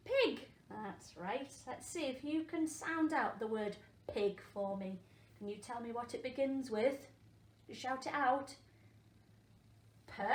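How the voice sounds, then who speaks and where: A woman, a primary school teacher, leading an interactive phonics lesson for young children, encouraging them and asking questions with pauses for answers. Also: fairly high pitch. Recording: echoey room with faint background noise.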